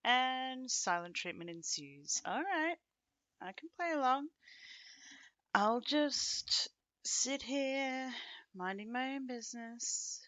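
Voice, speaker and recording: A woman acting an affectionate, teasing companion in an intimate ASMR roleplay, speaking casually and conversationally with pauses. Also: sits high, lively intonation, fairly bright tone, no echo, heavily acted. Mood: playful, casual.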